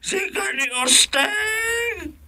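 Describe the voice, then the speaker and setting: An actor playing a cranky, wailing old village elder in an Ilocano radio comedy, scolding in an Ilocano accent. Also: male voice, extremely high pitch, lively intonation, very slow, a loud crowd, exaggerated voice.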